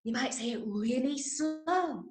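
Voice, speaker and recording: A woman with an English accent leading an online drama workshop for children, giving clear instructions and often checking in. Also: high-pitched, lively intonation, a little slow. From Manchester, England. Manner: clearly instructional, frequent check-ins with okay and right. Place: indoors, online video session, roomy sound. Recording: roomy echo on online call.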